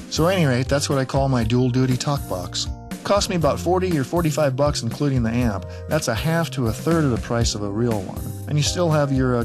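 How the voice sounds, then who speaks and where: A man presenting a DIY tutorial as explanatory narration, plain-spoken and folksy with dry humor. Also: medium pitch.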